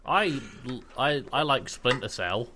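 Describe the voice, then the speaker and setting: A man's voice: mid-range voice, very animated, slow, sharp tone, slight background noise. A man co-hosting a podcast in casual banter, teasing and a little impatient. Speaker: podcast co-host.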